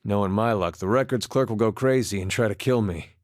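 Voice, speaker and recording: A man's voice: lowish voice, brisk, a little mellow, no room sound, clean studio sound, close to an everyday voice. A man voicing a laid-back, wry farmer turned soldier in a video game, with a plainspoken, understated folksy manner. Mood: wry, earnest. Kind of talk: performed game dialogue.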